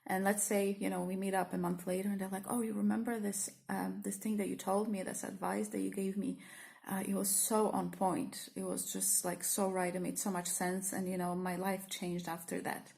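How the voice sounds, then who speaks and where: A woman's voice: mid-range voice, little pitch movement, moderate pace, creaky, darkish tone. A woman with a Polish accent talks to camera in an unscripted, reflective way, hesitant and earnest, full of fillers and restarts. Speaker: spiritual teacher and vlogger. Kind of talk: spontaneous reflective monologue to camera.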